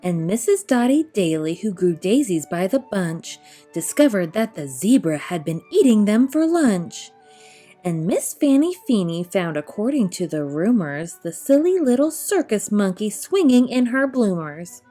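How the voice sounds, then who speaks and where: A woman storyteller reading a rhyming children's picture book aloud, expressively, in measured rhythmic verse. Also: mid-range voice, sing-song, mellow, faint music behind.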